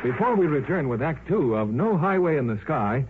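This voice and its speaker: Animated 1950s radio announcer, a man. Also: moderate pace, breathy edge. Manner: polished, emphatic sales pitch. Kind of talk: 1950s scripted radio advertising. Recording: muffled 1950s radio recording.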